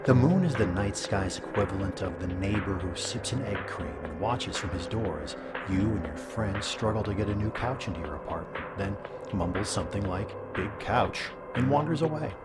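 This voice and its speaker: A man playing an all-knowing abyss that gives solemn, deliberate, deadpan advice in a scripted comedy audio drama. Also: lowish voice, lightly acted. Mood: dry, knowing. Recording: loud music bed under voice.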